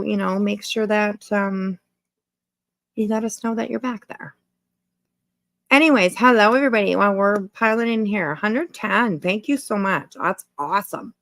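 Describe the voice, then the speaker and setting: A woman's voice: mid-range voice, creaky, dry recording. A woman hosting a casual livestream, chatting informally to viewers in a rambling way and repeating her phrases. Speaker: livestream host.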